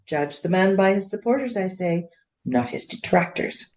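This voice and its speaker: A woman playing a proud, indignant Regency gentlewoman in a radio play, speaking formally and emphatically in defence of her husband. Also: on the low side, very animated, normal speed, dull audio.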